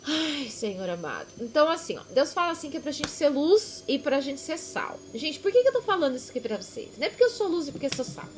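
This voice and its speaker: A woman's voice: high-pitched, sing-song, quick pace, hardly any pauses, a little hiss or hum. A Brazilian woman with a Brazilian accent, chatting casually in a rambling devotional vlog, correcting herself and laughing at herself. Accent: Brazilian Portuguese.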